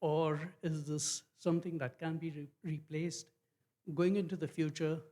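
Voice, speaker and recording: Man, fairly high voice, measured and halting, pausing on fillers. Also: level tone, warm tone. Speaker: audience member. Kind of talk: public comment at an event. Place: event hall.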